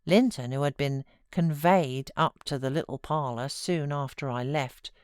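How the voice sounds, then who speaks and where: A British woman reading a classic novel as an audiobook narrator, in a measured, expressive, dramatic literary style. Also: sits low, smooth, no room sound, clean studio sound.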